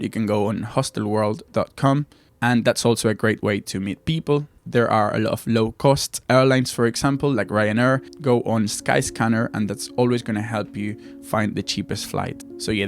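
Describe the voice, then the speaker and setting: A man's voice: lowish voice, vocal fry. A young man from Argentina, a native Spanish speaker, giving casual advice to viewers as a video host.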